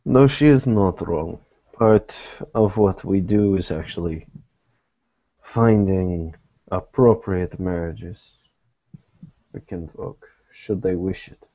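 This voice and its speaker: A man playing a weary, guarded private investigator in a tabletop roleplay, speaking in halting, deliberate, formal phrases. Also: deep voice, mellow, no room sound, full character voice. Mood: guarded, measured. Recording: dull lo-fi recording.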